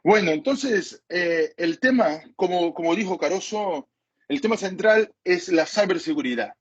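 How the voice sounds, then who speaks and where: Husky man lecturing in halting Spanish. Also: high-pitched, expressive. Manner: hesitant, apologizes for his Spanish. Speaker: cybersecurity lecturer. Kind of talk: masterclass lecture. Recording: dull lo-fi recording.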